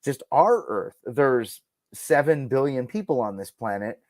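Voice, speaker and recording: A man's voice: medium pitch, big pitch swings, unhurried, no echo, studio quality. A man talking casually as a podcast guest, giving emphatic, musing opinions peppered with "like" and "you know".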